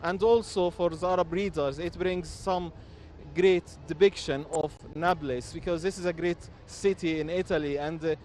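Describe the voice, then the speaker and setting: Man, measured publisher guest. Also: fairly high pitch, steady tone, a little mellow, slight background noise. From Egypt. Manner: measured, pausing with fillers. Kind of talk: interview answers.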